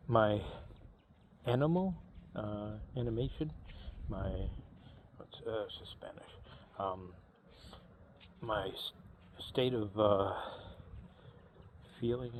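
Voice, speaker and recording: A man in his fifties speaks in a low, unhurried voice, chatting to camera with frequent pauses, fillers and restarts. Faint background noise sits under the recording. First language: English. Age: 50s. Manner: halting, many fillers and restarts. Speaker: vlogger. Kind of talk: casual personal talk to camera.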